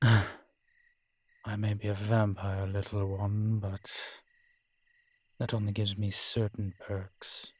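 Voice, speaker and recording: A man playing a world-weary, morose male vampire in a roleplay audio drama, speaking in a measured, formal, brooding manner with sighs and pauses. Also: lowish voice, level tone, dry recording. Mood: matter-of-fact, wry. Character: weary old male vampire, morose realist, formerly cruel.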